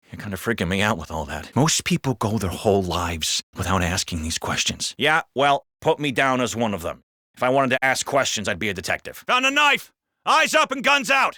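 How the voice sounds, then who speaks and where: A man's voice: medium pitch, bright, forceful tone, dry recording, clean studio sound. A man playing a wry, world-weary, bickering patrol cop in a video game, sardonic and sweary. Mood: urgent, shouting. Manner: sardonic, profane, conversational bickering. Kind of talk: video game character barks and banter.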